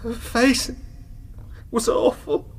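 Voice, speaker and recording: An actor playing an anguished, distraught widowed husband in a period courtroom radio drama, in a husky, raised voice. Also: male voice, very high voice, little pitch movement, extremely slow, a little hiss or hum, exaggerated voice.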